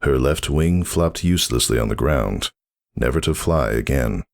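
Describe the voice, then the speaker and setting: Very deep, gravelly male storyteller. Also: lively intonation, normal speed. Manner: solemn, theatrical. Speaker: narrator. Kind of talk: dramatic scripted fan fiction narration.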